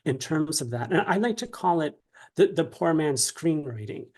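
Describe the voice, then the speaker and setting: A man with a slightly high-pitched voice talks as a guest in an interview. He explains his craft conversationally and haltingly, feeling his way through sentences and tagging them with "right?". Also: expressive, darkish tone, husky. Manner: halting, often checks with "right?".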